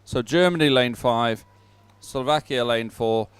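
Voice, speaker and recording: A man presenting live sports commentary, pausing as he goes and adding upbeat, sentimental asides. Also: medium pitch, smooth, dry recording. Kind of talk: live commentary on a dragon boat regatta.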